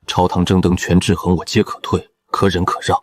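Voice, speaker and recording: Costume drama general, male actor: deep, stern, fiercely protective, some noise behind. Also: little pitch movement, fairly quick, close to an everyday voice. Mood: defiant anger. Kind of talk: Chinese costume drama dialogue.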